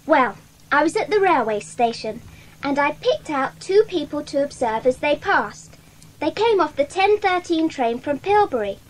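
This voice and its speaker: A woman's voice: sits high, poor audio. A woman playing an observant, earnest schoolgirl who speaks precisely in a children's audio drama. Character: Janet, bright observant schoolgirl club member.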